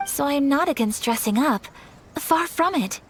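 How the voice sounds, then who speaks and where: A woman voicing a graceful young noblewoman in a game, speaking formally, gently and in a measured way. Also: sits high, lively intonation, background noise. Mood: gentle. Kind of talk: game voice acting.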